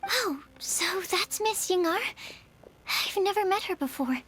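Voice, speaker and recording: A very high-voiced, timid young woman from an anime game. She is gentle and kindhearted, with soft music behind her. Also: expressive, a little slow, full character voice. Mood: gentle, curious. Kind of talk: anime-style game voice acting.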